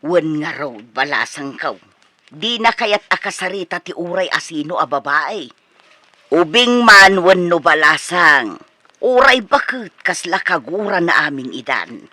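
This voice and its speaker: A woman's voice: fairly low pitch, very animated, unhurried, bright, forceful tone, dry recording, exaggerated voice. A woman playing a nosy, doting older Filipino mother in a comedic radio drama, teasing and easily startled. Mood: explaining.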